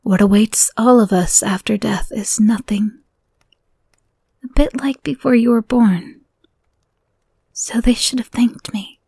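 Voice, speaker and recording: A woman voicing an obsessive, murderous young woman who holds the listener captive, speaking softly and often in a whisper, swinging between menacing calm and frantic apology. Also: on the high side, slow, vocal fry, pauses often, husky, dry recording, heavily acted. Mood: sinister, teasing. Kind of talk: audio roleplay to a listener.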